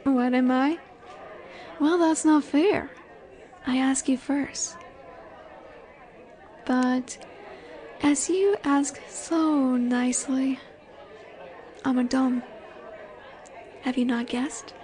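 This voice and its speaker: Woman acting a high-voiced, slow-teasing werewolf alpha girl. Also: fairly even intonation, warm tone. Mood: playfully dominant. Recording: bar crowd ambience behind voice. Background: bar chatter ambience.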